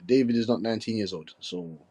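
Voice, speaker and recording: A man in his twenties co-hosting a casual boxing chat. He argues his points quickly and keeps asking if you get him. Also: lowish voice, warm tone, husky, no room sound. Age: 20s. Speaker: boxing podcast co-host.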